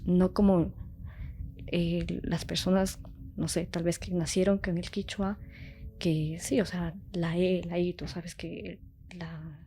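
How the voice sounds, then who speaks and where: A woman's voice: on the low side, flat delivery, takes it slowly, warm tone, breathy edge, soft background music. A young adult woman speaking in an interview, casual and heartfelt, with lots of fillers. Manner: chatty, heartfelt, many fillers.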